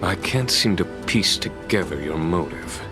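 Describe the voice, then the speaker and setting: A man's voice: deep voice, animated, unhurried, music in the background. A man voicing a composed, shrewd general in a video game, speaking formally with dry authority. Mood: stern, measured.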